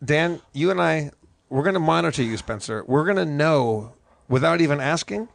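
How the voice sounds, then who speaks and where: Creaky-voiced man doing a playful ad read. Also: a little high, animated, normal speed. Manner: playful, loosely improvised. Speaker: show co-host reading sponsor ad. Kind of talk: conversational comedic ad read.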